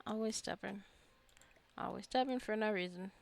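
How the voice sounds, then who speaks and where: A woman's voice: medium pitch, normal speed, creaky, a little hiss or hum. A woman giving casual, rambling gameplay commentary as a gaming video host, chatting and thinking aloud to herself and her viewers.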